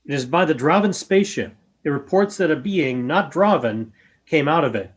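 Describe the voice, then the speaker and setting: Woman playing a solemn, measured alien creature. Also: low-pitched, lively intonation, husky. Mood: helpful, measured. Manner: measured, formal. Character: solemn, courteous, unseen alien creature speaking through a robot. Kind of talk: radio play acting.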